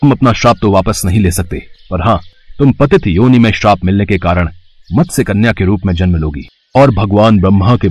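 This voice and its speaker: A man acts out a divine ancestral father spirit for a devotional cartoon story. The voice is low and husky, with big swings in pitch. He makes formal, solemn pronouncements, stern yet benevolent. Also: a little mellow, no echo, heavily acted. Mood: stern, pronouncing.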